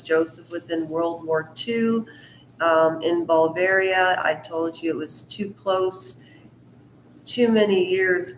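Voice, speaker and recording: Slow, low-voiced woman reading in an echoey room. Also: slight background noise. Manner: rambling asides that interrupt the reading; slow, deliberate delivery. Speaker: channel host reading a book aloud. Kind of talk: book reading mixed with casual commentary.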